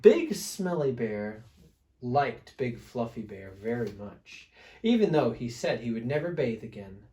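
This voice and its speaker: Homme conteur, lent et très expressif. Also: male voice, mid-range voice, very animated, mellow. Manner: dramatic emphasis, pauses to prompt listeners. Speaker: read-aloud storyteller. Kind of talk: expressive picture-book reading for children.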